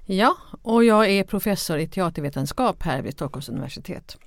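A woman's voice: on the low side, expressive, vocal fry, dry recording. A woman chatting on a podcast as an academic co-host. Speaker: theatre professor, critic, co-host. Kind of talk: conversational podcast talk.

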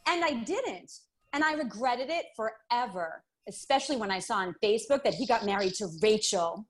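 An actress playing a candid, encouraging, supportive longtime best friend in radio drama dialogue. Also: female voice, on the high side, lively intonation, moderate pace, faint music behind. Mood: confessional.

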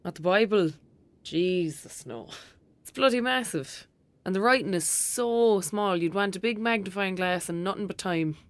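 A woman's voice: medium pitch, moderate pace, no echo, close to an everyday voice. A woman playing a blunt, sceptical young girl with an Irish accent in an audio drama, speaking in a casual, colloquial way. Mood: dismissive. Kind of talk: naturalistic audio drama acting.

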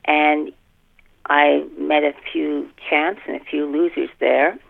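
Low, gravelly woman on a muffled line. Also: level tone, slow. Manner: exclamatory, colloquial, repeats phrases for emphasis. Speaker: guest author. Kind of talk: casual interview chat. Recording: muffled phone or radio-like line.

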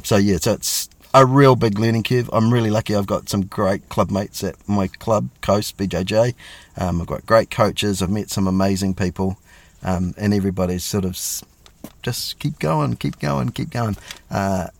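Middle aged kiwi guy, real low voice, chatty and joking about himself. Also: dry recording. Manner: chatty and self-deprecating, with lots of fillers. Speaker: police officer guest. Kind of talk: casual interview chat.

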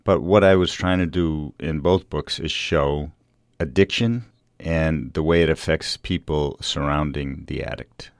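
A man's voice: rumbling low, measured pace, hardly any pauses, no room sound, studio quality. A middle-aged American man, a novelist being interviewed, speaking conversationally in a reflective, hesitant way. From Revere, Massachusetts, USA.

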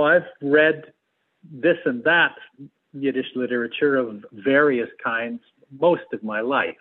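Thoughtful man on a thin, phone-like line. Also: mid-range voice. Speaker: theatrical adapter, interview guest. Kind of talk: conversational interview answers.

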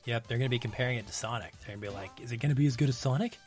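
A man's voice: medium pitch, racing, over music. A man speaking casually as a podcast guest who talks about films. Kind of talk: casual chat.

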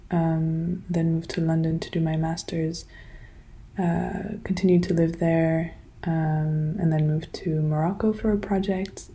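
A young woman raised in Switzerland talks through her answers in a mellow, warm voice. Her pitch stays fairly flat, and she pauses often with fillers and restarts her sentences as she works out what she wants to say. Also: husky. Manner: hesitant, many fillers and restarts. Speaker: guest, designer. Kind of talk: casual interview answers.

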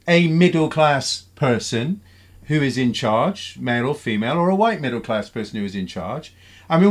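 A man hosting a radio panel, his voice sitting a touch higher than most men's. He talks briskly and keeps firing questions at his guests. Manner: brisk, questioning, steers the talk. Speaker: radio presenter.

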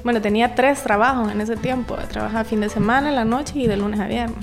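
A woman in her forties with a Salvadoran accent, a singer-songwriter talking as an interview guest, chatty and quick to laugh. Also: a little high, steady tone, over music. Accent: Salvadoran Spanish. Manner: chatty, laughs often, restarts words. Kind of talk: casual interview chat.

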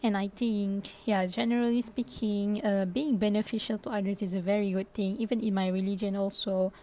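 A woman's voice: medium pitch, level tone, brisk, warm tone. A young Malaysian woman, a native Malay speaker, hosting her own podcast in a casual, thinking-aloud way, full of fillers and restarts. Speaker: podcast host. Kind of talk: casual solo podcast talk.

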